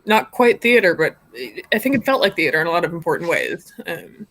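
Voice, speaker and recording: A woman's voice: lowish voice, sing-song, brisk, a bit bright. A woman hosting an informal live stream discussion in a casual, conversational way.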